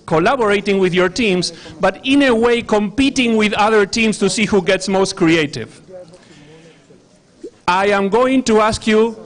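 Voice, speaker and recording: A man with an Argentine accent speaks in a notably high register, lively and directive as he instructs an audience. He sets out tasks step by step for a conference hall full of people. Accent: Argentine Spanish. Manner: animated, directive, addressing a crowd. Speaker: workshop facilitator.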